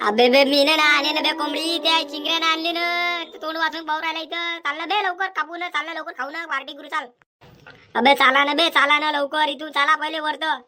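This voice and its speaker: A brash, foul-mouthed cartoon village young man, as a voice actor puts him on, in a Vidarbhi Marathi accent. He scolds and bosses his gang in a high, bright voice. His lines tumble out in a fast, bickering rush. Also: fairly even intonation. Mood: bossy. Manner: rapid, scolding, abusive banter. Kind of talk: cartoon comedy voice acting.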